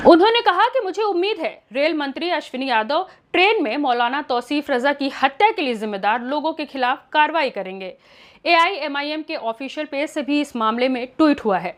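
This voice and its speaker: High-pitched woman reading news. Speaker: news reporter and presenter. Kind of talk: scripted news narration.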